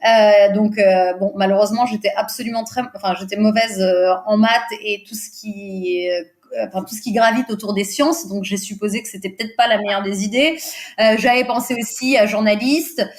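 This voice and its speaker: A woman in her thirties, a native Portuguese speaker, talking as a podcast guest in a relaxed, hesitant way, with many fillers and easy laughter. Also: medium pitch, moderate pace. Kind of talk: casual interview chat.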